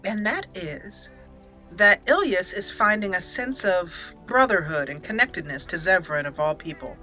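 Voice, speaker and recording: A woman's voice: on the low side, expressive, moderate pace, bright, forceful tone, faint music behind. A woman hosting a roleplay let's play, chatting and reading game dialogue aloud into a close microphone. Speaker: gaming channel host.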